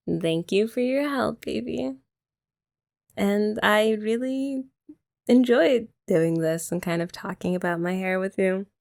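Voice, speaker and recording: Slow, lilting woman with a gravelly edge. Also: mid-range voice, expressive. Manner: soft, teasing and affectionate, often laughing. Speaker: ASMR roleplay creator. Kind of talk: ASMR girlfriend roleplay.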